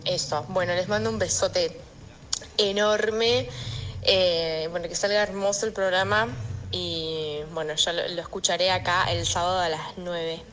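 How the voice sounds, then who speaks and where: Argentine woman, rather low voice, casual storytelling, voice message with light ambient noise. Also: steady tone, unhurried, a bit bright. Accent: Argentine Rioplatense. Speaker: listener sending a voice message. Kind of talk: casual personal anecdote. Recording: noisy voice message with ambient sound.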